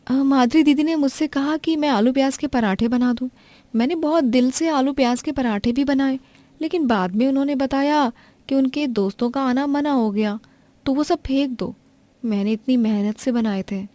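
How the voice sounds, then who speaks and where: A woman voicing a meek, patient young daughter-in-law who speaks softly and politely. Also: sits high, moderate pace, dry recording, pitched above the performer's own voice, natural voice. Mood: hurt. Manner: soft, polite, submissive.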